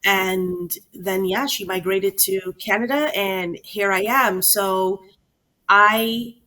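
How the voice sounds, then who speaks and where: A woman's voice: medium pitch, steady tone, takes it slowly, bright, forceful tone. A woman from Guyana, a children's author, telling her life story in a chatty, conversational way. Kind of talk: conversational storytelling interview answers.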